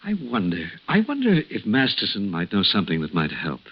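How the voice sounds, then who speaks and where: An actor playing a worried, earnest doctor in a 1940s radio drama. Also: male voice, deep voice, huge pitch swings, fairly quick, breathy edge, full character voice. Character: worried, earnest male doctor puzzling over a patient. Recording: muffled vintage radio recording.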